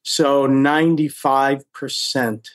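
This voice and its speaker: A man's voice: mid-range voice, steady tone, takes it slowly, dry recording. An older American man chatting casually as an interview guest and telling stories from his own experience. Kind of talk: casual storytelling chat.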